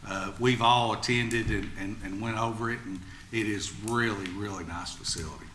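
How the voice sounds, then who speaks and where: A man's voice: on the low side, fairly even intonation, moderate pace, a bit bright. A man chairing a public meeting, speaking as the head of a commission. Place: indoor public meeting room. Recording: echoey meeting room with clear background noise.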